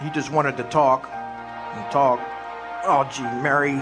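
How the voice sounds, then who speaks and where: A man's voice: medium pitch, fairly even intonation, slow pace, over music, lightly acted. A man playing an earnest, frustrated young small-town husband in an amateur stage radio drama, speaking emphatically and stammering when upset. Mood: dejected.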